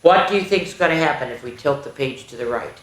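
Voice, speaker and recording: A woman hosting a children's story time, reading a picture book aloud in an animated, sing-song, question-asking way. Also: a bass voice, quick pace. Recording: roomy, echoey indoor sound.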